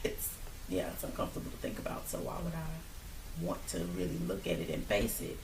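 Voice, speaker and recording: Gravelly-voiced woman counselor, roomy. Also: rumbling low, a little fast, a little hiss or hum. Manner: lively, expressive. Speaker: school counselor. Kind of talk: casual talk show chat. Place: small studio lounge, indoors. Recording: roomy, a bit distant.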